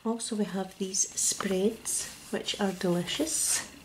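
A Scottish woman speaking ASMR in a soft, gentle whisper close to the mic, at a table indoors. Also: mid-range voice, steady tone, slow, noisy background. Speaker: ASMR creator.

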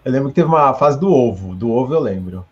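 A man in his thirties hosting an interview over a video call, asking halting, thoughtful follow-up questions from a study at home. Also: medium pitch, lively intonation, a little mellow. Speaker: host. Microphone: earphone headset. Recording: dull lo-fi video call audio.

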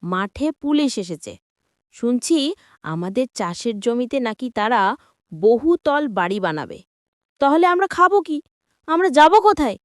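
A woman voicing a bossy, excitable village farm woman in a cartoon, nagging and exclamatory. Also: on the high side, normal speed, long pauses, dry recording, clean studio sound. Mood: alarmed, angry. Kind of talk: cartoon voice acting.

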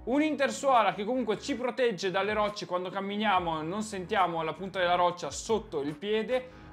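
A man's voice: sits high, fairly bright tone. A young man giving an enthusiastic, emphatic product review to camera. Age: young adult. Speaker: gear reviewer. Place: indoor room at a desk. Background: background music.

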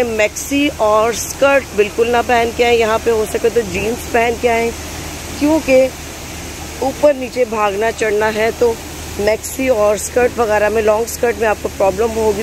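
A man vlogging from a valley outdoors, giving practical travel advice and mixing English words into his talk. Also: sits high. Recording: noisy outdoor recording.

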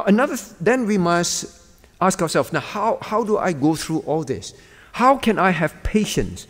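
A middle-aged man preaching like a teacher, emphatic, repeating key words and putting questions straight to his listeners. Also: fairly high pitch. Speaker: pastor teaching a prayer meeting. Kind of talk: sermon delivered as a lesson, with questions to listeners. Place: meeting room with a projection screen, indoors. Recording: reverberant hall recording.

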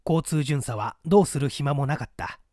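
A man reading a mystery story aloud in an expressive, scripted narrator's delivery. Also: on the high side, normal speed, no room sound, studio quality. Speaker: audiobook reader, small-theatre actor.

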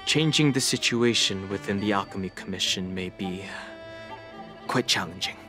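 Man voicing a calm measured young man. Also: deep voice, natural voice. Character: young man, calm outsider offering advice. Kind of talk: game voice acting. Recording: game audio with clear background music.

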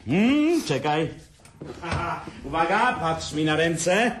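A man's voice: fairly high pitch, sing-song, very slow, a bit bright, full character voice. An actor playing a cheeky hard-drinking man who jokes and schemes with his brother, in a playful, teasing manner. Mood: mischievous. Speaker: brother, Adam. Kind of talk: amateur radio drama acting.

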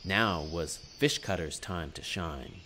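A man narrating a children's story in an expressive, theatrical way. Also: low voice, takes it slowly, slight background noise. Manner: expressive, dramatic delivery. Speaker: storyteller, puppet show narrator.

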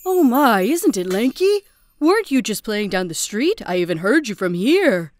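A woman voicing a kindly, anxious housewife in an animated storybook cartoon. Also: fairly high pitch, moderate pace. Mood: welcoming. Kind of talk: cartoon voice acting.

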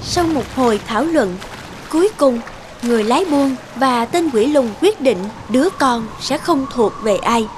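A woman narrates a fairy tale in a high voice. The delivery is scripted storybook reading, with music clearly audible behind her. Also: lively intonation, normal speed.

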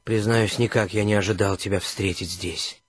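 An actor playing an earnest, educated gentleman come home from abroad, formal and probing, in a radio play. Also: lowish voice, fairly even intonation, moderate pace, close to an everyday voice. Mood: earnest, serious.

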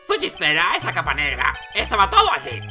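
A man's voice: sits high, huge pitch swings, fast talker, bright, forceful tone, faint music behind, exaggerated voice. A man voicing an indignant male clown giving emphatic, dramatic testimony in a courtroom video game. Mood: insistent.

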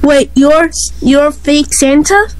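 A woman voicing an arrogant, childish buff male gym bully in an amateur animated skit, taunting in a drawn-out way. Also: high-pitched. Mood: shocked.

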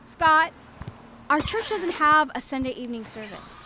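An actress playing a brisk, organised suburban mom in a family audio drama. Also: female voice, high-pitched, expressive, bright, forceful tone, slight background noise, exaggerated voice. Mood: exasperated.

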